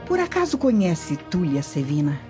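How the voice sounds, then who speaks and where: A woman's voice: fairly low pitch, wildly swooping pitch, normal speed, over music. A Brazilian woman acting a vengeful, commanding Roman noblewoman who schemes coolly in a radio drama. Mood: scheming. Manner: imperious, calculating. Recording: dull lo-fi radio drama audio.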